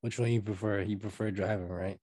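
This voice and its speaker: A man interviewing over a video call for an oral history project, asking loose, halting questions with fillers. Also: fairly low pitch, steady tone, warm tone, slightly rough, no room sound, studio quality.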